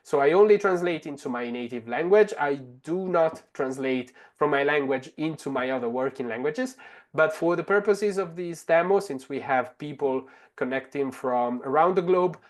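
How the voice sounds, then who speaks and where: A man from Italy, a native Italian speaker with an Italian accent, presents a live software demonstration in a halting, explanatory way. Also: fairly high pitch. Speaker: webinar presenter, translator.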